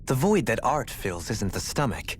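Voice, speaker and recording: An actor playing an erudite, courteous adventurer in a video game, speaking in a refined, lecturing way. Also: male voice, medium pitch, big pitch swings, moderate pace, slight background noise, close to an everyday voice. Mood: lofty, musing. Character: erudite, lofty male adventurer, courteous and philosophical. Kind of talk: video game dramatic acting.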